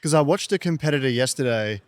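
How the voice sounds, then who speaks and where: A man's voice: mid-range voice, lively intonation, fast talker, no echo. Male interview host, dry close sound. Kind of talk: conversational interview.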